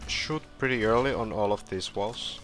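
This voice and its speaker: A man with a fairly low voice walks through a game level in a loose, rambling way, full of fillers and restarts. His voice is getting hoarse. Faint music plays under a desk-mic recording. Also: normal speed. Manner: relaxed, rambling, with frequent fillers and self-corrections. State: hoarse, clearing his throat. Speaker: gameplay tutorial streamer. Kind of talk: casual gameplay walkthrough commentary.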